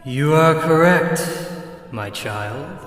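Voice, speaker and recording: Sly gloating vampire villain, echoing. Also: male voice, on the high side, expressive, a bit bright, exaggerated voice. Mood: menacing. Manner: mock-polite, theatrical, savoring pauses. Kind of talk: fantasy audio drama acting. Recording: echoey cavern reverb with music behind.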